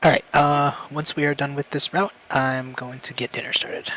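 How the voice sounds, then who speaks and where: A man's voice: on the high side, flat delivery, moderate pace, bright, forceful tone, poor audio. A man chatting casually as a co-commentator during a video game playthrough. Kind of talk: casual let's-play chat.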